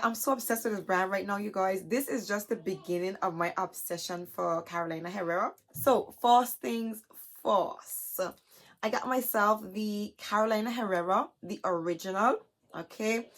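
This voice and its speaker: A young adult woman with a hoarse, scratchy, chesty voice, chatting casually to camera as a vlogger and filling her talk with "you guys". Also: mid-range voice, fairly bright tone. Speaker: beauty vlogger. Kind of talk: casual haul chat to camera.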